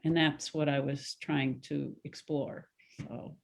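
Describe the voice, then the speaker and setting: A woman explaining her creative intent as a playwright, haltingly searching for words. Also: sits low, fairly even intonation, creaky. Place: virtual video call.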